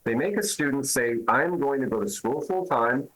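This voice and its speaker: A man with a husky, slightly rough voice makes his case in a news interview. He speaks emphatically, pressing points with rhetorical questions, and his voice sits at an ordinary middle pitch. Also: expressive, moderate pace, a bit bright, low-quality recording. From Canada. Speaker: immigration lawyer.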